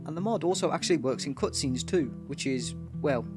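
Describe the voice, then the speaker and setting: A man's voice: a little high, lively intonation, a little fast, music in the background. A man hosting a gaming video with casual, explanatory commentary.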